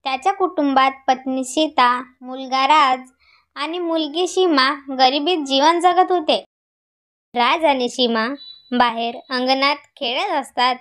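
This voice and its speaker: A woman's voice: sits high, measured pace, fairly bright tone. A woman narrator reading a scripted moral story for a cartoon.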